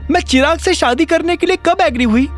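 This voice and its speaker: A man's voice: extremely high pitch, a little fast, soft background music, pitched above the performer's own voice. A man voicing a proud, defiant, upset young wife in an audio drama, speaking indignantly. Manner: indignant, mixes English into speech. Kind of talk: Hindi audio drama acting.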